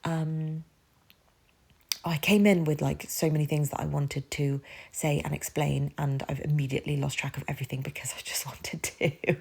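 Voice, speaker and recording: Soft-spoken woman with a stuffy nose. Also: sits low, fairly even intonation, moderate pace, vocal fry, breathy edge. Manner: rambling, self-deprecating, lots of fillers. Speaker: planner vlogger. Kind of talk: casual voiceover narration.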